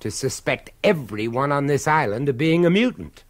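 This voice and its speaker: A man playing a shrewd, suspicious investigator in a radio drama, speaking in a brisk, wry, urbane way. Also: mid-range voice, expressive, lightly acted. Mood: wry, urgent.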